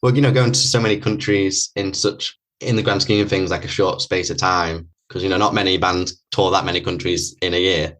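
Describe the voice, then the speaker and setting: Guy hosting a podcast, low voice, rambly questions with lots of like and you know. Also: fairly even intonation, a little fast, few pauses, a bit bright, rough recording. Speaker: podcast host. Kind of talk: interview questions.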